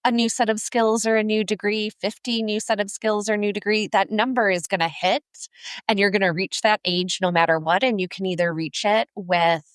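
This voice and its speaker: A woman's voice: medium pitch, steady tone, moderate pace, dry recording. A woman speaking as a career coach in an interview, explaining point by point in an articulate, emphatic, conversational way. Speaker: career coach, podcast guest.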